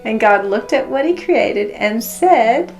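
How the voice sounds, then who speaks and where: Lady teacher reading a story to little kids, slow and expressive, asks questions, music in the background. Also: on the high side. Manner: expressive, deliberate, prompting listeners with questions. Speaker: children's religion teacher reading aloud. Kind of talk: interactive storybook read-aloud for children.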